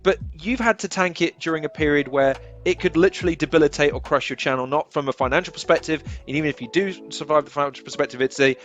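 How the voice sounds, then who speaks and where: A man, hosting a casual video-call interview from a small home room on a headset mic, effusive and prone to loud, sweary outbursts. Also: fairly high pitch, fast talker, hardly any pauses, lo-fi sound, faint music behind. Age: 30s. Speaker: podcast host.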